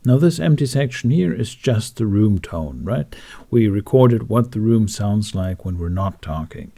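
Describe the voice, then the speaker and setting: A man talking through a software tutorial in a casual, informal way with wry asides. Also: lowish voice, expressive, measured pace, gravelly. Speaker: tutorial host.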